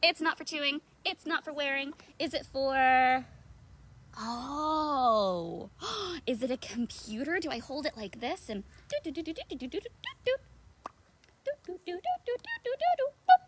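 A woman's voice: sits high, very animated, normal speed. A woman in her thirties hosting a children's read-aloud outdoors, theatrical and playful, full of questions. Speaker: children's librarian, storytime host. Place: outdoors in a leafy backyard.

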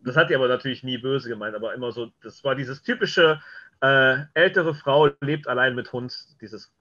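A man's voice: medium pitch, moderate pace, bright, forceful tone, muffled recording. A middle-aged man telling casual anecdotes as an interview guest on a video call from home. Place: home room lined with shelves, on a video call.

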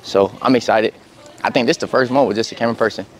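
A young man, chatting casually to viewers in a slangy, relaxed way as he walks through a store and a parking lot. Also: mid-range voice, fast. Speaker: vlogger. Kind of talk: casual vlog chat. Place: outdoors in parking lots and inside a big store.

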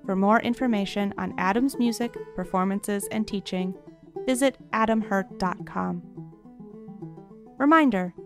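A woman's voice: mid-range voice, unhurried. A woman announcing podcast credits in a scripted read. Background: plucked guitar music.

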